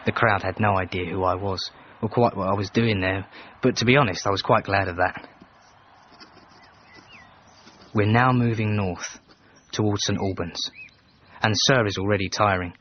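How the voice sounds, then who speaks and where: An actor playing a reserved Edwardian manservant in a radio drama, reading out his letters in a formal, measured, dryly disapproving way. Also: sits low, fairly even intonation, close to an everyday voice. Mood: dry, skeptical. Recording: dull band-limited radio, faint noise.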